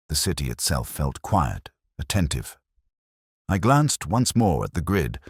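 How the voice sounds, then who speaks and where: A man narrating a first-person detective story in a measured, solemn, literary manner. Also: low voice, no echo, clean studio sound.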